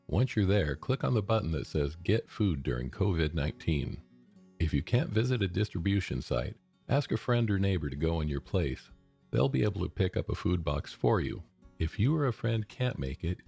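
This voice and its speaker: A man's voice: sits low, vocal fry, a little mellow, slightly rough. An American man reading scripted public service announcements for radio.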